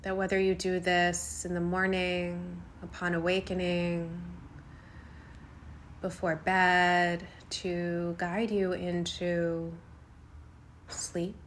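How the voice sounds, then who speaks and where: A woman guiding a yoga nidra meditation in a soothing, unhurried way, speaking in short phrases with long pauses. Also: on the low side, level tone, a little hiss or hum. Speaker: meditation guide.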